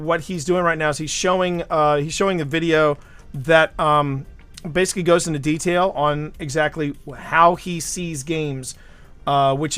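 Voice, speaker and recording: A man's voice: fairly high pitch, a bit bright, clean tone. A man from the United States hosting a livestream, rambling through stories and often repeating his words as he chats. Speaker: livestream host. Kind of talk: casual chat.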